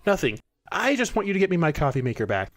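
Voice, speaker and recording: A man's voice: fairly high pitch, big pitch swings, fast talker. A man playing a flustered, earnest inventor with a hesitant, stammering manner in a comic detective audio drama. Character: flustered, earnest male inventor seeking help.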